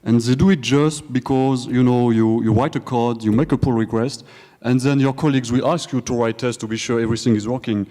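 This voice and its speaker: A man's voice: mid-range voice, fairly even intonation, fairly quick, mellow. French man speaking in a reverberant hall. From France. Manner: joking, hesitant, many fillers and restarts. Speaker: conference speaker. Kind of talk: live conference talk. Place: large conference hall. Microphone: handheld or stage microphone. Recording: hall reverb from a conference room.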